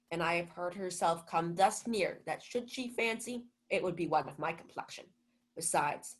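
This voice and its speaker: A woman's voice: on the low side, natural voice, rough recording. A young woman acting a pompous, gullible male steward in a Shakespeare comedy radio play, theatrical and self-important. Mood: smug musing. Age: young adult. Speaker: student actor.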